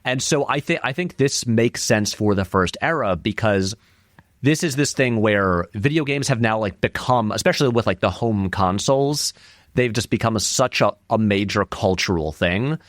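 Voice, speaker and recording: A man's voice: on the low side, expressive, moderate pace, no room sound, clean studio sound. A man speaking casually as an interview guest, thinking aloud as he builds his points. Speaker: podcast guest, film essayist.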